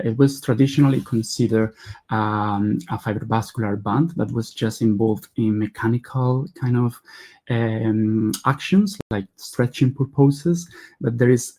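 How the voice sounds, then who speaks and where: A man's voice: medium pitch, mellow. A man, presenting research in a webinar lecture over a video call, earnest and explanatory, with frequent hesitations and self-corrections. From Spain. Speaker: veterinary neurology resident presenting research.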